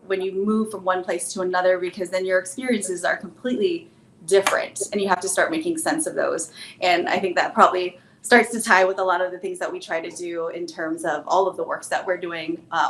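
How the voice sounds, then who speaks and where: A woman from Hawaii speaks on a design panel with a middle pitch. She talks thoughtfully and often restarts her sentences. The room around her sounds echoey. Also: slight background noise. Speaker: panelist, design firm president. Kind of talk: casual panel chat.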